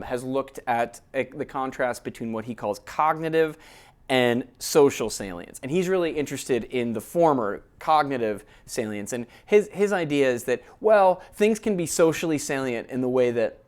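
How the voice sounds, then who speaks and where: A man lecturing in a relaxed, conversational way, explaining ideas step by step and often checking in with his listeners. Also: medium pitch. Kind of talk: academic lecture.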